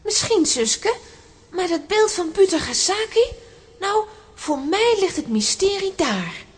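A woman's voice: extremely high pitch, very animated, unhurried, exaggerated voice. A woman playing a bold, spirited young girl adventurer in a children's audio drama, eager and assertive, with a Flemish accent. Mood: determined.

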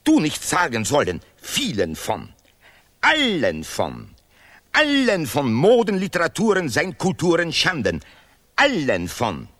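An actor playing a pompous, sanctimonious male laureate who declaims vehemently in deliberately broken grammar in an absurdist radio play. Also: fairly high pitch, huge pitch swings, a bit bright, full character voice. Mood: pompous, lecturing.

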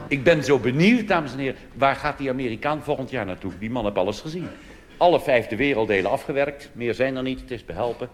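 Dutch cabaret comic with dry asides. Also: male voice, mid-range voice, lively intonation, a little fast, music in the background. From Netherlands. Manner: dry, conspiratorial asides with chuckles. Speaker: cabaret performer. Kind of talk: live cabaret monologue.

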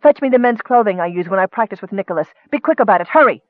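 A man's voice: sits high, animated, very fast, dry recording, phone-quality sound. A man voicing a fierce, imperious young noblewoman with a cutting, commanding manner in a storybook. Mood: urgent commanding.

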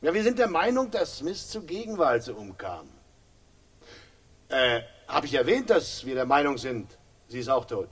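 A man's voice: mid-range voice, sing-song, moderate pace, a bit bright, slightly rough. An actor playing a grimly ironic police inspector in a radio crime drama, mock-jovial and hesitant, repeating himself as he needles. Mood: slyly needling. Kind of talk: 1960s German radio crime drama acting.

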